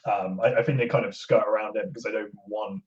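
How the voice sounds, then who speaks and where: A man chatting casually on a podcast in a hesitant, halting way. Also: on the low side, fairly even intonation. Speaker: podcast co-host. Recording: dull lo-fi audio.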